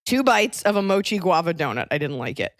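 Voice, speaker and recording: A woman with a slightly low voice chats casually as a podcast host. She is wry and makes fun of herself as she goes. The sound is close and dry. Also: very animated, brisk, dry recording. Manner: wry, self-mocking. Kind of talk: casual comedic podcast chat.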